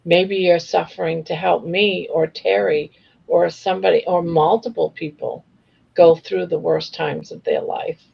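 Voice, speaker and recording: A woman in her sixties with a gravelly, crackling voice. She talks in a candid, heartfelt way, like a casual chat among friends. The audio is a little dull and lo-fi. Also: lowish voice, fairly even intonation, a little slow. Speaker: host of a live crochet chat panel. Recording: slightly dull, lo-fi livestream audio.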